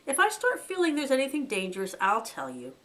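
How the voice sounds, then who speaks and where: A woman's voice: sits high, huge pitch swings, brisk, a bit bright, pitched above the performer's own voice, full character voice. A woman voicing a timid young male rabbit who is anxious and whimpers with fear. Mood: uneasy. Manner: trembling, whimpering, breathless alarm.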